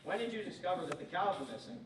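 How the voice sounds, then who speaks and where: A young man playing a terse, deadpan cowboy lawman who narrates and questions in a western radio parody on stage. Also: sits high, racing, noisy background. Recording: echoey stage, distant mic, music behind.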